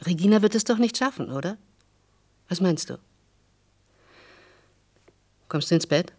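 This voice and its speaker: An actress playing a resolute, caring wife and protective neighbour in a German radio play, firm and matter-of-fact. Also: female voice, lowish voice, lively intonation, brisk, darkish tone, husky. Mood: earnest, caring.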